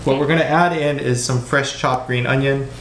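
Man, middling pitch, casual home cook, echoey kitchen. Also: steady tone, normal speed, fairly bright tone. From Hawaii, US. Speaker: home cook demonstrating a recipe. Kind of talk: casual cooking demonstration. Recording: roomy kitchen echo with background noise.

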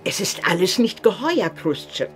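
A woman's voice: medium pitch, very animated, normal speed, roomy sound, in a large hall, faint music behind, close to an everyday voice. An actress playing an elderly, uneasy, doubting mother of the household in a horror audio drama.

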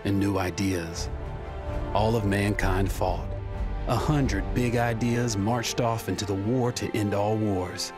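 An actor voicing a reflective, weary soldier who narrates his war slowly and solemnly in a video game. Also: on the low side, fairly even intonation. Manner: slow, solemn, measured. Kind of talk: scripted narration. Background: music.

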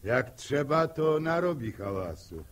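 A man's voice: mid-range voice, sing-song. An actor playing a genial middle-aged farmer father in a 1960s radio drama. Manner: slow, folksy.

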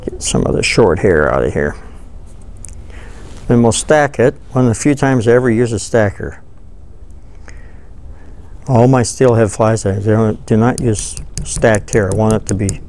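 An older man giving a relaxed, folksy fly-tying tutorial, thinking aloud as he works at a table in a studio. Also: on the low side, a little slow, long pauses. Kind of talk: hands-on tutorial. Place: studio with dark backdrop, seated at table.

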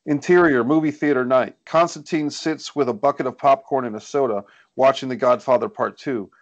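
A man's voice: medium pitch, fairly quick, dull audio. A man reading scripted stage directions as narrator of a screenplay table read. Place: indoors on a group video call.

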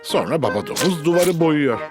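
A man playing the storyteller of a children's cartoon, narrating clearly from a script. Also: fairly high pitch, lively intonation, moderate pace, close to an everyday voice. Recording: music behind the voice.

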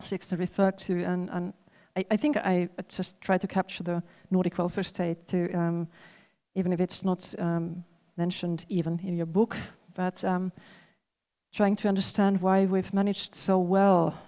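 A woman's voice: on the low side, flat delivery, a little slow, dark tone. A woman, speaking reflectively on a panel with many restarts. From Finland. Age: 40s. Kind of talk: panel discussion. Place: large event hall on stage. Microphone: headset mic. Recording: long hall reverb.

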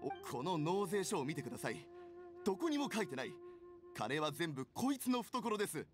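A petty merchant from an anime game. His delivery is excitable and theatrical, swinging widely in pitch as he grumbles and schemes. Game music is clearly heard behind him. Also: normal speed. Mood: spiteful, gloating. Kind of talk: Japanese anime game voice acting.

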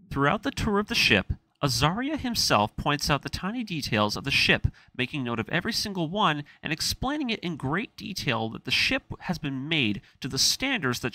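A man's voice: fairly high pitch, pushed and bright, rough recording. A man narrating a story breakdown with commentary, in an earnest, emphatic, scripted storytelling manner. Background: background music.

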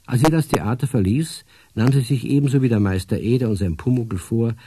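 A man narrating a children's radio play as a gentle storyteller, with a mellow, husky voice. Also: fairly low pitch, a little hiss or hum, natural voice.

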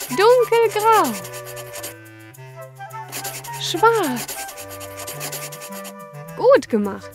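A woman reading scripted narration for a young children's educational cartoon, instructive, posing questions and answering them. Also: high voice. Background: background music.

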